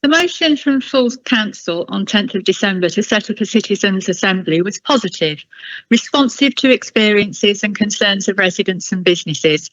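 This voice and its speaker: A woman delivering a formal prepared address at a council meeting in a deliberate, critical and emphatic manner. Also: mid-range voice, animated, fairly bright tone, no echo, muffled recording.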